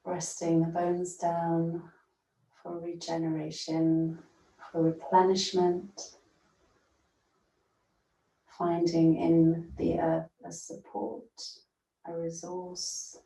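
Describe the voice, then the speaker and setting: Slow, low-voiced woman guiding meditation. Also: gravelly. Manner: gentle, soothing, unhurried with long pauses. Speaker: yoga nidra teacher. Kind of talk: guided meditation. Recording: roomy echo, distant from mic.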